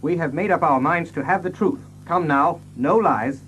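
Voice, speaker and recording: An actor playing an imperious, hot-blooded young baronet in a radio-style drama, speaking in a commanding, insistent way. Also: male voice, fairly high pitch, moderate pace, fairly bright tone, soft background music, lightly acted, rough recording. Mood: stern, demanding. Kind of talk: radio-play dramatic acting.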